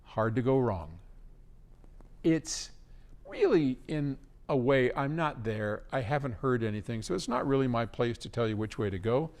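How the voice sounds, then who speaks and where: A man's voice: mid-range voice, lively intonation, moderate pace, a little mellow. A man hosting a question-and-answer talk, speaking in an informal, conversational way as he gives advice. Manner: conversational, informal, with frequent throat clearing. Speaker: host answering listener questions.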